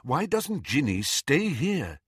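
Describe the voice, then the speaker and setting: A man voicing a kindly grown man who talks loudly and a bit flustered. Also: on the high side, very animated, takes it slowly, breathy edge, dry recording, clean studio sound, pitched above the performer's own voice, exaggerated voice. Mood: reasoning. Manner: flustered, loud.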